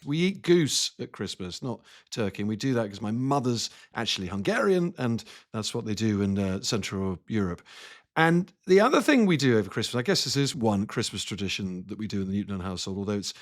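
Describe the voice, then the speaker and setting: A man hosting a podcast as a journalist, lively and teasing in casual chat. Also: lowish voice, fairly quick, no echo, studio quality.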